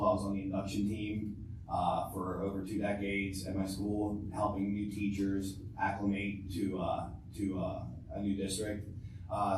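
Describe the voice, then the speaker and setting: Board candidate, man in his fifties, low and halting; distant mic, strong room echo. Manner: halting, many uhs and repeated words. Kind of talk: candidate interview answer. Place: school board meeting room. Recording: very echoey room from far off, noisy.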